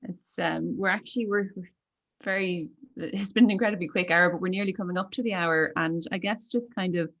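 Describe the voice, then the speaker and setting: A woman's voice: fairly low pitch, fairly even intonation, fairly quick, fairly bright tone. A woman hosting a conversational interview, putting her questions in a tentative, roundabout way. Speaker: interviewer and host.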